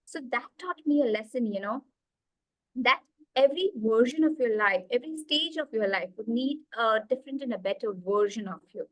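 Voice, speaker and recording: A woman's voice: sits high, fairly bright tone, dry recording, poor audio. Eager Indian woman telling her story. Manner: eager storytelling with many fillers, gesturing. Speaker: guest, executive coach. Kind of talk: video call interview. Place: small room indoors. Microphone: video call.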